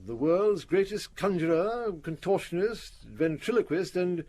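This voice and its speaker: Pompous British doctor, animated pitch. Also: male voice, measured pace, slight background noise. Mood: apologetic, bemused. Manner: formal, precise, pompous. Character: pompous, learned rationalist doctor, proudly sceptical. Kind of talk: British radio drama acting.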